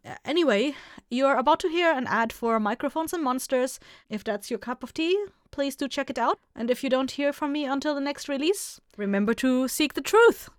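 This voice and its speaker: Higher-voiced woman hosting, dry sound. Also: expressive, normal speed, hardly any pauses, no echo. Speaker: show host, writer and producer. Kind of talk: informal podcast outro announcements.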